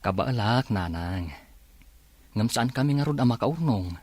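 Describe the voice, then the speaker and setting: A man's voice: medium pitch, expressive, unhurried, darkish tone, no room sound. A man with an Ilocano accent acting a devoted, patient young fiance and son in a radio drama. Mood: patient, defensive.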